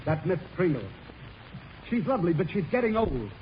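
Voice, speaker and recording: An actor playing a silly, exasperated prison warden in a 1930s radio comedy, clownish and given to muttered sarcastic asides. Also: male voice, high voice, very animated, normal speed. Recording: muffled, noisy 1930s radio broadcast.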